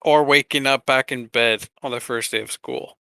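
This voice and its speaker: Clear, bright-voiced man chatting casually. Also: mid-range voice, steady tone, moderate pace, dry recording. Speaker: podcast co-host. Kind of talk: casual podcast chat.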